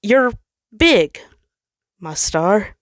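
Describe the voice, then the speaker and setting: A woman's voice: mid-range voice, big pitch swings, extremely slow, dry recording, pitched above the performer's own voice. Casting: woman voicing a young hot-headed planet-man, defensive yet sorry, mumbling, for dry-recorded narrated fiction.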